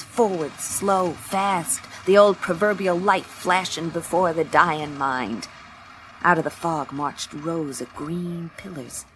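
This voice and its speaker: A woman's voice: on the low side, fairly bright tone, a little hiss or hum, low-quality recording. An actress playing a tough, wisecracking female private eye in a noir science-fiction radio play, with a streetwise, sardonic delivery. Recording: music under the voice.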